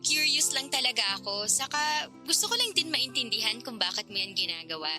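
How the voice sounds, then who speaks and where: A woman's voice: a little high, sing-song, brisk, sharp tone, soft background music, full character voice. An actress playing a curious, teasing, frank older girlfriend figure in a radio drama, talking in a playful, probing, casual way.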